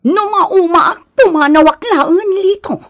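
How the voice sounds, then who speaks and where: A woman's voice: very high voice, measured pace, fairly bright tone, full character voice. A Filipino woman with an Ilocano accent acting an aggrieved young wife in a radio drama, plaintive and protesting. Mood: indignant. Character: aggrieved young wife, resentful of her in-laws.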